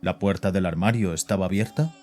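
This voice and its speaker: An actor narrating a horror audio drama and playing its composed psychiatrist, measured and grave in a deep, dark voice. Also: male voice, slight background noise, lightly acted. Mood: calm, probing. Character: composed, probing male psychiatrist who also narrates. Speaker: narrator and doctor.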